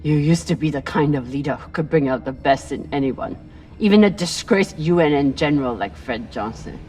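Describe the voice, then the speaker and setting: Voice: medium pitch, animated, normal speed, a little mellow, a little hiss or hum, close to an everyday voice. An actor playing an embittered, defiant former soldier in a video game, with an intense, accusing way of talking. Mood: bitter reproach. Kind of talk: dramatic video game voice acting.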